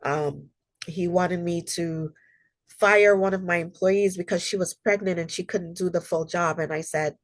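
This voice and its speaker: A woman with a lowish voice presents over a video call, sounding slightly nasal as she gets over a cold. Her talk is earnest and conversational, dotted with ums. The sound is dry and close, with no room echo. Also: steady tone, measured pace. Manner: earnest, conversational, with frequent ums and personal stories. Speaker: human rights consultant and presenter. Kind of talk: online library presentation.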